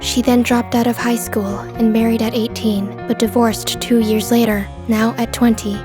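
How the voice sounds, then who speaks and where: Manga dub observer, played by a woman: formal, deadpan, detached, slightly high voice over faint music. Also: normal speed, close to an everyday voice. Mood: matter-of-fact. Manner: polite, stiffly formal, deadpan. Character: composed, formal young woman observer, detached but kind.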